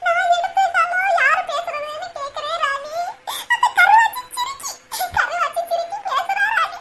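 A woman's voice: very high-pitched, lively intonation, normal speed, sharp tone, soft background music, exaggerated voice. A woman voicing a distraught middle-aged village mother in a cartoon, crying and plaintively repeating herself in a rural southern Tamil Nadu accent. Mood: aggrieved, tearful.

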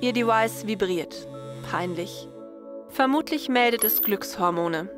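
Casting: female storyteller, medium-pitched voice, scripted sci-fi narration with prominent music underneath. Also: measured pace. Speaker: narrator and writer. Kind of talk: scripted narration of a science-fiction audio story.

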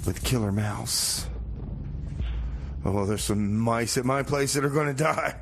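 A man's voice: mid-range voice, a little slow, vocal fry, background noise. A man playing a scatterbrained, boastful radio host in a comedy show, rambling and exclaiming in a flustered way. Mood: fretful. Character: scatterbrained, boastful radio host fretting over award paperwork. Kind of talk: comedy radio theater and music DJ banter.

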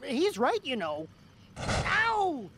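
A man playing a fussy, indignant car in an animated video game. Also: very high voice, extremely slow, slight background noise, full character voice.

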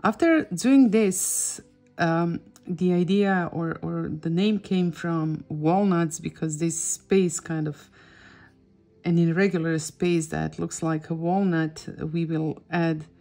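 A woman's voice: lowish voice, takes it slowly, mellow, soft background music. A middle-aged woman with a Croatian accent, a native Croatian speaker, giving a casual drawing tutorial and often searching for words. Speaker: drawing teacher and host.

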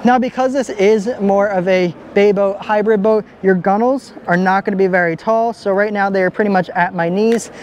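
A young man filming a walkaround boat review at arm's length in a large indoor boat show hall, talking with steady enthusiasm. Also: sits high, moderate pace, hardly any pauses, slight background noise. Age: young adult. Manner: enthusiastic, upbeat. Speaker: boat vlogger. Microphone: handheld camera at arm's length.

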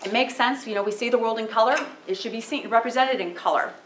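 A woman in her forties, a photographer giving a casual talk in a library meeting room, speaking loudly into a handheld microphone with plenty of fillers. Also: fairly high pitch, quick pace, noisy background. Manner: loud, chatty, with many fillers. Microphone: handheld microphone through PA. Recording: echoey room over a PA.

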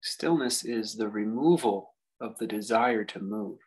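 A man leading a guided meditation as a teacher, speaking reflectively and leaving long pauses. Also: lowish voice, darkish tone, breathy edge, poor audio.

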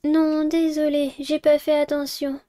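A woman voicing a shy, gentle teenage schoolgirl in a visual novel, timid and apologetic. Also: sits high, little pitch movement, measured pace, no room sound, clean studio sound, voice pushed up.

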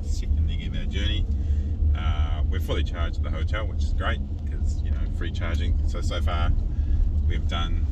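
A man with a New Zealand accent casually narrating an electric car road trip, relaxed and full of filler words. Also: low voice. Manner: relaxed, chatty, many uh fillers. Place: inside a moving car and outdoors at chargers. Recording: noisy car and street background.

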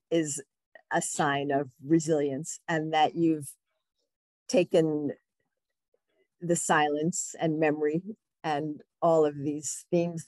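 Woman in her sixties, very low voice, dry close sound, measured interviewer, webcam call. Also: a little mellow, no room sound. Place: home room. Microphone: video call webcam.

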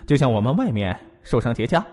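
An actor playing a glib court physician in an audio drama, explaining emphatically and deferentially. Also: male voice, mid-range voice, sing-song, a little fast, darkish tone, husky. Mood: earnest, explaining. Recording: long hall reverb, music behind.

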